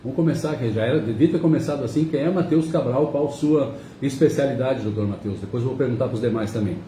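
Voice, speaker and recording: A man's voice: mid-range voice, fast, warm tone, a little hiss or hum. A man hosting a radio talk show, asking his guests long, conversational questions. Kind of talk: casual interview chat. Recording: echoey room, mic at a distance.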